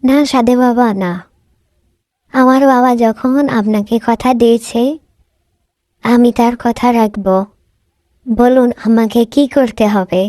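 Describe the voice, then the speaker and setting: Resolute young daughter , a woman voicing her: mellow, slightly high, dry studio. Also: takes it slowly, long pauses, no echo. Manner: calm, earnest, resolute. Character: wise, brave young woodcutter's daughter.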